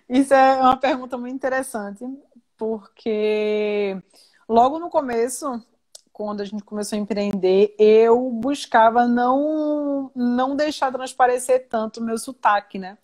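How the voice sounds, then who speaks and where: Guest: young woman from Recife, strong Pernambuco accent, lively chatty answers. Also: on the high side, a little slow. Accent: Pernambuco Portuguese. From Recife, Brazil. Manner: animated, talkative, many fillers and restarts. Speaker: guest, science communicator and entrepreneur. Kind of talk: video call interview.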